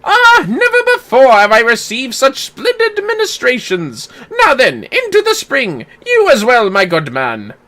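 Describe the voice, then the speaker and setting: A performer voicing a flamboyant, pompous gentleman inspector from a video game, with grandiose, florid delivery. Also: high-pitched, huge pitch swings, measured pace, few pauses, pitched above the performer's own voice, exaggerated voice. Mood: grandly pleased. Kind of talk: theatrical voice-acting of game dialogue.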